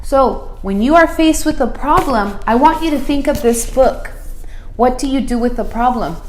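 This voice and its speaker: A woman reading a picture book aloud to children as a school principal, expressive and deliberate, putting questions to her listeners. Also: high voice. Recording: roomy, echoey room sound.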